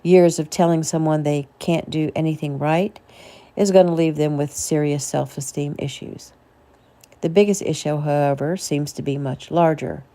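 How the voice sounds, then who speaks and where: A woman's voice: low voice, fairly even intonation, moderate pace, dark tone. A woman reading her own novel aloud as a casual audiobook narration.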